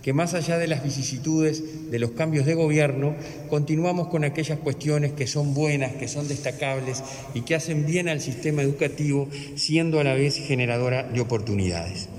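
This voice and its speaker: Fluent official in an echoey hall. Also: male voice, a little high, normal speed, darkish tone. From Uruguay. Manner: fluent, long ornate sentences. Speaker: education official. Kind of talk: formal official address. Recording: echoey hall, faint noise.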